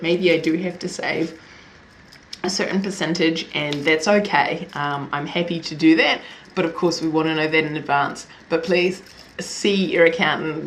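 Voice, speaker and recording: A woman's voice: fairly low pitch, fairly bright tone, a little hiss or hum. A young woman from New Zealand with a Kiwi accent, vlogging to camera in a chatty way, often laughing at herself. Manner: chatty, laughs often, self-deprecating asides. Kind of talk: casual talk to camera.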